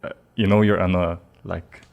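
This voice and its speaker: A young man in his early twenties from Lebanon, speaking as a podcast guest. His voice sits very low and stays flat, and he talks earnestly, stopping often to restart a thought. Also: moderate pace, gravelly, muffled recording. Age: 20s. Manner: earnest, many fillers and restarts. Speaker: guest, digital marketing specialist. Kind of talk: casual podcast interview.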